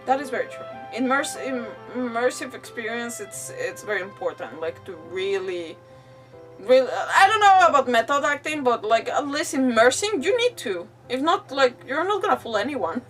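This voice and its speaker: A woman live streamer giving casual, animated commentary on a game, thinking aloud in a giggly, chatty way. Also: fairly high pitch, moderate pace. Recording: slightly distant mic, faint game music. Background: faint game music.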